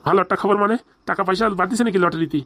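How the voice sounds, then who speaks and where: Man doing cartoon village dad, older, easygoing banter, talks super fast, very dry close sound. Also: high voice, a bit bright, no echo. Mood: excited. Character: older village father, easygoing, eager to marry off daughter. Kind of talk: fast comic cartoon dialogue.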